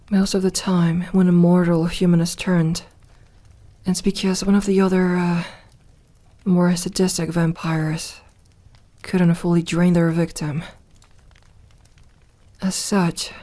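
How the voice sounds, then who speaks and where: A woman acts out a lonely female vampire in an ASMR roleplay, solemn and confiding. Her voice is mellow and rather low, with very little rise and fall. She takes her time, pausing hesitantly as she goes. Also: natural voice. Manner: hesitant, measured, with reflective pauses. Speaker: voice actor in an audio roleplay. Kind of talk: soft ASMR roleplay monologue.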